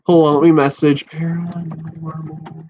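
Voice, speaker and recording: A man in his twenties from the USA, hosting a livestream from a small room at home, exclaiming and swearing with halting restarts. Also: on the high side, flat delivery, warm tone, a little hiss or hum. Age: 20s. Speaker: podcast host livestreaming. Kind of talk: excited casual livestream chat. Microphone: laptop and handheld phone. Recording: dull lo-fi stream audio.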